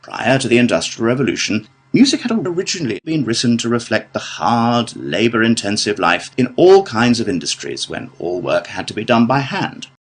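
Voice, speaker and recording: A British man delivering a scripted academic lecture in a measured, formal manner. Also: medium pitch, sing-song, breathy edge. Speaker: lecturer in a listening test.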